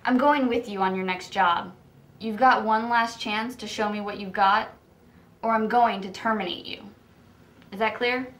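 A man's voice: high-pitched, fairly even intonation, normal speed, fairly bright tone, reverberant, recorded from a distance. An actor playing a curt, no-nonsense boss of a hitman company who is judging his agents. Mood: stern. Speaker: boss evaluating hitmen.

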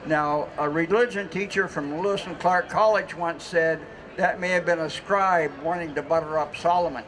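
An older man reading a prepared speech in a measured way on an outdoor city stage. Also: on the high side, fairly even intonation, a bit bright, poor audio. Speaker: festival speaker. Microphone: mic on stand through PA. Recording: outdoor street noise.

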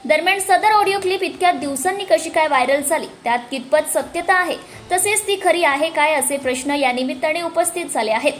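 High-pitched woman reading news. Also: brisk, hardly any pauses. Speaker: news presenter. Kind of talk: scripted news narration.

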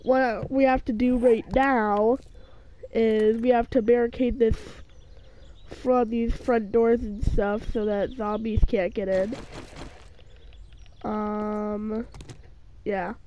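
A woman plays a survival game and talks it through at an unhurried pace, her voice a little off because she's sick. She rambles, restarts her sentences and fills the gaps with "um". Her slightly high voice sounds soft and dark, with a faint hiss behind it. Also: fairly even intonation, lo-fi sound. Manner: rambling, hesitant, many fillers and restarts. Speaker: gaming channel host. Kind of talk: casual let's-play commentary.

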